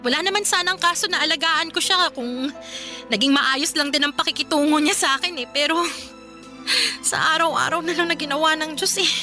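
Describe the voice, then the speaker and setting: A woman's voice: sits high, brisk, bright, forceful tone, soft background music. A woman playing a worn-out, bitter and defiant daughter in an emotional radio drama, pleading with emphatic repetition. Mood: weary, aggrieved. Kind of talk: Filipino radio drama acting.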